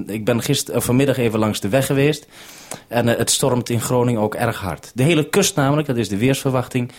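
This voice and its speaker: A Dutch radio producer speaking on a radio link, calm and orderly, repeating notes back before signing off. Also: male voice, medium pitch. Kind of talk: radio call, businesslike. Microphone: radio transceiver.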